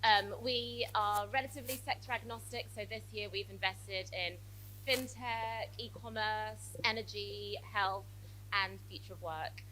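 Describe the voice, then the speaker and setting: Bright-voiced woman with a British-tinged accent. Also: medium pitch, steady tone, unhurried, slight background noise, low-quality recording. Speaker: panelist, accelerator managing director. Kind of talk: panel introduction. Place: event stage with audience.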